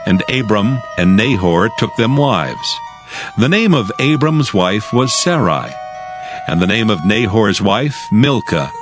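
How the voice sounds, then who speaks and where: A man narrating scripture from a script in a measured, formal manner. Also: lowish voice. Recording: music behind the voice.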